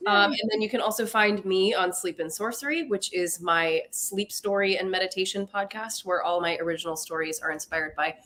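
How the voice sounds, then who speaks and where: A woman in her thirties talking casually as a podcast guest, telling stories in an animated way. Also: a little fast, hardly any pauses. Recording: low rumbly background noise.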